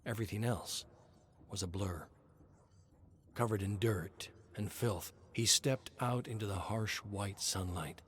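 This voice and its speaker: A man's voice: lowish voice, a little slow, slight background noise. A man reading a scripted horror story as its narrator.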